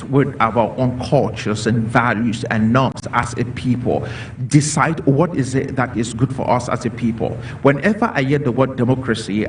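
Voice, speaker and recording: A man from Cameroon speaking as a panelist in a discussion, earnest and repetitive, with many fillers. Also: mid-range voice. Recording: distant mic with faint noise.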